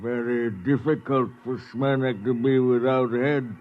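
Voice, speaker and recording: Casting: man as slow, fatalistic alien, broken English, polite, mid pitch, vintage radio sci-fi, dull old recording. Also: fairly even intonation, lightly acted. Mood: placid. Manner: halting broken English, formal and unhurried. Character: placid, slow-witted male alien native, courteous and fatalistic. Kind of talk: 1950s radio drama acting.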